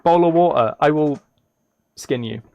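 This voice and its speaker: Higher-voiced man, playful livestream chat. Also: unhurried, a little mellow, lo-fi sound. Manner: playful, teasing, rambling asides to viewers. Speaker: livestreamer and host. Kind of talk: casual livestream chat while playing a video game. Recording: clear noise with key clicks and typing. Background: keyboard typing and clicks.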